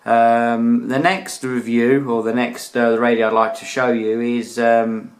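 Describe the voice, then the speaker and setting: A man's voice: medium pitch, level tone, measured pace. A British man giving a casual, chatty video review as a hobbyist, using informal slang. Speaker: radio hobbyist reviewing his collection.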